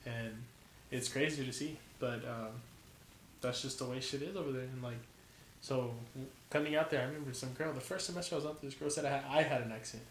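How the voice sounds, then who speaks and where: A man's voice: medium pitch, quick pace. A man in his twenties from California, a college football player talking as a podcast guest in casual, profane, slangy chat. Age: 20s. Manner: profane, laid-back slang. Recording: echoey room with faint noise.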